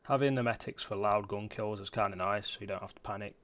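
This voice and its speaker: A man's voice: lowish voice, fairly even intonation, quick pace, fairly bright tone. A man giving casual, matter-of-fact commentary for a video game guide in an informal way. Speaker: gamer presenting a guide.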